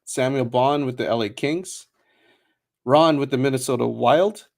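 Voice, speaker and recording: A man's voice: medium pitch, vocal fry. Casual man hosting a card break. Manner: rambling, with many fillers and quick laughs; reads out a long list of names. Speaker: host of a trading card box break. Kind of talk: casual livestream chat.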